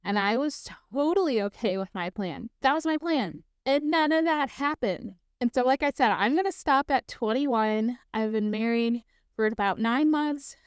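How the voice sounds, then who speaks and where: A woman around thirty tells stories on her own podcast in a relaxed, rambling way, dropping "like" and "um" and laughing easily. Her voice is smooth and sits a little high. The sound is dry and close, with no room around it. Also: no room sound. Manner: chatty, fills speech with "like" and "um"; laughs easily while talking. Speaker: podcast host. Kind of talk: casual solo storytelling.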